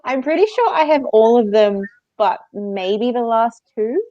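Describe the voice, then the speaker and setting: A woman's voice: on the high side, animated, unhurried. A woman co-hosting a book readalong live show in giggly, informal casual chat. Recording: dull, roomy lo-fi sound.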